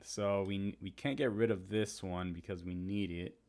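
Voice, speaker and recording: Low-voiced man chatting on a live stream. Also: steady tone, normal speed, darkish tone. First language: Spanish. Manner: chatty, reads and answers viewer comments; talks through trading charts. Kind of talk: casual live-stream chat.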